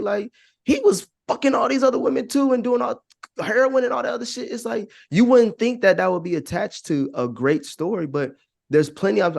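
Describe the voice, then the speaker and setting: A man's voice: fairly high pitch, gravelly, a little mellow. An American man talking casually on a podcast, giving opinions in a rambling, profane way and often repeating his phrases. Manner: rambling, repeats phrases, frequent "you know what I'm saying", profane.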